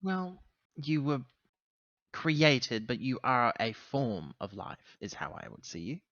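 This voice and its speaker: A man improvising in character in a tabletop roleplaying game, speaking in halting, hesitant phrases with pauses. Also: medium pitch, sing-song, fairly bright tone, no echo, highs cut off.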